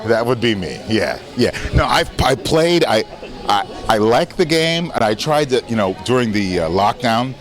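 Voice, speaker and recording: A man's voice: mid-range voice, big pitch swings, moderate pace, soft background music. A man talking casually at a premiere, rambling and self-deprecating. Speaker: actor guest. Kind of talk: casual carpet interview. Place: outdoor premiere carpet at a venue. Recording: busy crowd behind.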